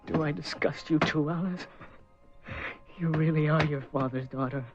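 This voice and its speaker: Actor as a tormented, menacing young fugitive. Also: male voice, fairly high pitch, fairly even intonation, normal speed, muffled recording, close to an everyday voice. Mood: anguished. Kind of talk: dramatic radio horror acting. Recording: band-limited radio drama with music underneath.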